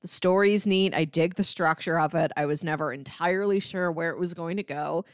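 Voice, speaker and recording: A woman's voice: fairly low pitch, a little fast, clean tone, no echo, clean studio sound. A woman co-hosting a podcast, giving her take in a casual, conversational way. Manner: informal, conversational. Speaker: podcast co-host. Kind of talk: casual podcast review chat.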